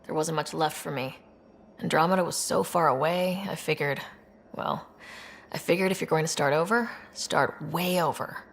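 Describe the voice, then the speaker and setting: A woman's voice: deep voice, normal speed, vocal fry. A woman playing a young, resolute space explorer in a video game, earnest and understated. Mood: wry, reflective. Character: young, new, resolute human explorer leader, female.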